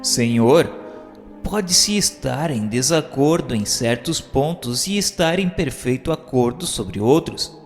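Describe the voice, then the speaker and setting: Man, Brazilian accent, mid-pitched voice, lively intonation, formal audiobook-style narration, measured declamatory delivery. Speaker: narrator reading a book aloud. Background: music.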